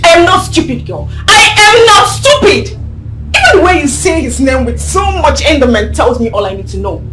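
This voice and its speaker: An actress playing a jealous village wife who confronts her husband's lover, shouting accusations. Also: high voice, sing-song, moderate pace, rough recording. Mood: accusing. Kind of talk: film drama acting. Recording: film soundtrack with loud music.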